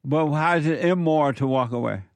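A man's voice: fairly high pitch, fairly quick, dry recording. A man hosting a radio call-in show, questioning the caller in a blunt, challenging way with short repeated questions. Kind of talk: call-in debate, probing questions.

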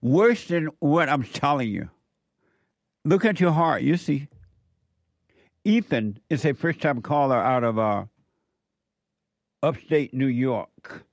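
A man's voice: medium pitch, lively intonation, slow pace, long pauses, no room sound. Blunt male call-in host, dry close sound. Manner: blunt, challenging, short repeated questions. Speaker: radio call-in show host. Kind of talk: call-in debate, probing questions.

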